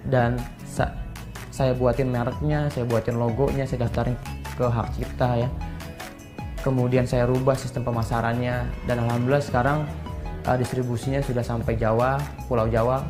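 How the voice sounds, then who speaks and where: A twenty-year-old man, explaining in an informal interview style and often tagging phrases with a casual filler. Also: mid-range voice. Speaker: young entrepreneur being interviewed. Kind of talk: interview talk, explaining his business. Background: guitar music.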